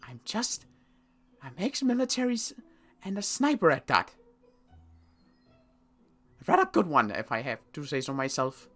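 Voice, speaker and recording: A player acting as a wary ex-military sniper in a zombie game roleplay. The voice is bright and forceful, swinging up and down in pitch as the character grumbles. Delivery is halting and exasperated, with little trust for anyone. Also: big pitch swings, faint music behind. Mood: indignant. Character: gruff, distrustful ex-military sniper, easily frustrated. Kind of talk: improvised Minecraft zombie roleplay.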